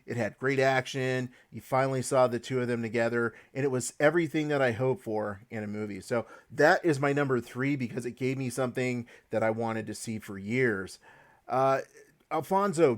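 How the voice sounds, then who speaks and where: A man's voice: mid-range voice, normal speed. A man hosting a casual podcast chat, leading the talk with hedges and restarts. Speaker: podcast host.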